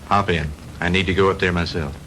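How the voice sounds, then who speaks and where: An actor playing a helpful, persistent newspaper reporter in a 1960s B-movie. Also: male voice, low voice, fairly even intonation, fairly quick, over music, natural voice. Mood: earnest, persuasive. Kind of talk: 1960s B-movie dialogue acting. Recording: noisy 1960s film soundtrack.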